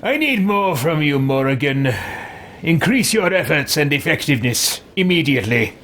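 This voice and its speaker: A man roleplaying a haughty, commanding fantasy ruler in a video game, imperious and deliberate. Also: on the high side, big pitch swings, a bit bright, a little hiss or hum. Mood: demanding. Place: small room with bookshelves.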